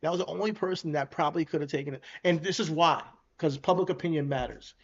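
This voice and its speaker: A man co-hosting a podcast, speaking in a measured, hesitant way as he thinks aloud in a casual debate. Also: on the high side. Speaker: podcast co-host. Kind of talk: casual debate about rap. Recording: dull lo-fi audio.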